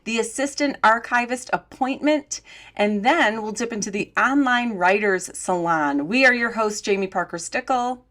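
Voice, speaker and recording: A woman's voice: fairly high pitch, normal speed, fairly bright tone. A woman co-hosting a podcast, chatting casually and telling stories with plenty of filler words and laughter. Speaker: podcast co-host.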